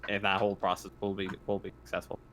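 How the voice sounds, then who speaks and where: A man's voice: deep voice, completely flat delivery, quick pace, noisy background. A man running a casual tabletop game as game master, with a joking, profane way of talking.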